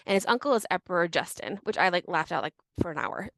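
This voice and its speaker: Young female podcast co-host, casual storyteller, low-ish voice, dry close mic. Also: animated, fast talker, a bit bright, no echo. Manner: chatty, laughs often, many "like" fillers.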